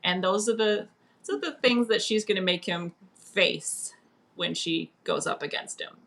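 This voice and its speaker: A woman's voice: medium pitch, normal speed, vocal fry, heavy background noise. A woman author chatting casually as a guest, with an informal and enthusiastic way of talking. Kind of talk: casual chat.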